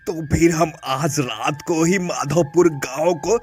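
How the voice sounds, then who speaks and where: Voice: medium pitch, takes it slowly, soft background music, voice pushed down, natural voice. A performer voicing evil ghost brothers in a cartoon, plotting and gloating with menacing laughs. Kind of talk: cartoon voice acting.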